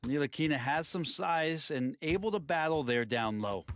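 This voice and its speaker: A man's voice: fairly high pitch, animated, moderate pace, soft background music. A man giving matter-of-fact color analysis for a basketball video game broadcast.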